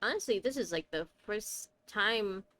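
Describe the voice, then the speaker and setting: A woman's voice: medium pitch, animated, a little slow, sharp tone. A woman streaming a video game with casual commentary, making wry asides and talking back to the characters. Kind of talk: casual live gameplay commentary. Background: game music.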